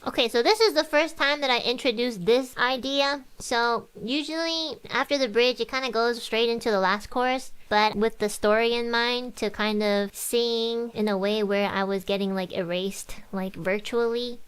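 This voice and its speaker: A woman's voice: fairly high pitch, normal speed, few pauses, fairly bright tone, clear, resonant tone, rough recording. A woman singer talking casually through the making of her song, chatty and full of "like". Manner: chatty, fills talk with "like". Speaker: singer and streamer. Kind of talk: casual behind-the-scenes commentary. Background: faint music from her track playing.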